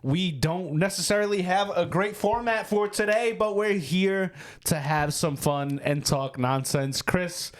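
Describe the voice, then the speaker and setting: A man's voice: high voice, measured pace, few pauses. A man with a Boston accent hosting a casual sports podcast, joking and teasing his co-host. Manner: goofy, jokey, teasing, drifts into silly voices.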